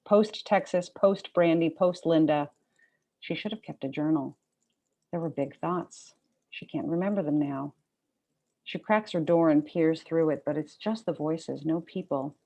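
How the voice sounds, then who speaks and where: A woman's voice: deep voice, measured pace, warm tone. A woman novelist reading her own literary fiction to an audience at a book event.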